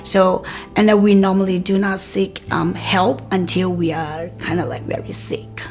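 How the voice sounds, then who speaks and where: Creaky-voiced woman, Karenni native speaker. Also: medium pitch, little pitch movement, a little mellow, faint music behind. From Myanmar. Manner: measured, with frequent "um" and "like" pauses. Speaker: community health worker and program manager. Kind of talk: interview.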